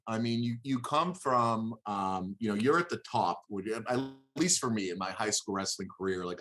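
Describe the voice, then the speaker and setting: A man in his thirties whose first language is Hebrew, answering interview questions in a halting, conversational way, repeating words as he thinks aloud. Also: fairly low pitch, fairly bright tone. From born in Israel, lived there until seven. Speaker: interview guest, former college wrestler.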